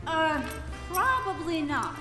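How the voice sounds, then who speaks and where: A woman's voice: very high voice, very animated, takes it slowly, heavily acted. A woman playing a sceptical, questioning character in a comic stage radio play, with dry interjections. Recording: echoey hall with music behind.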